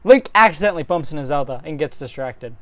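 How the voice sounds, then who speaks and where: Man narrating, animated and dry. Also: on the high side, fast talker, no room sound. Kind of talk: dramatic script reading.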